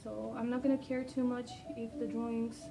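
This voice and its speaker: A woman who speaks English as a second language, rambling hesitantly with many pauses as she sketches. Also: a little high, little pitch movement, gravelly. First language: not English. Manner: hesitant, many ums, self-deprecating. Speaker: artist sketching on camera. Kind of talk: casual rambling vlog while drawing. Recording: distant mic with background music.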